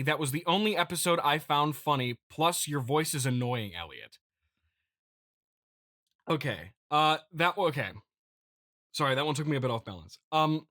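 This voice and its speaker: Male comedy host, bright, forceful voice in a dry recording; plays a petty, self-important company boss, rambling through an improvised address. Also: on the high side, no room sound. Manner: mock-pompous, halting and rambling with repeated phrases. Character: smug, petty billionaire CEO boasting to investors. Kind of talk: improvised comedic monologue, mock-corporate address.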